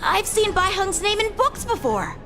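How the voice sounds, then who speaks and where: A woman playing an earnest, eager teenage boy swordsman in a video game, speaking in a candid, boyish, expressive way. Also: extremely high pitch, normal speed, slight background noise, exaggerated voice. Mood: earnest, animated. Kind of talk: English game voice acting. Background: background music.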